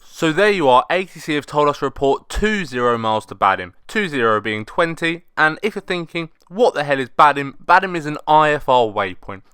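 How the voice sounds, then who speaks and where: Clear-voiced young British man narrating. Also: on the high side, sharp tone, no room sound, clean studio sound. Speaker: student pilot, vlogger. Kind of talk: explanatory voice-over narration.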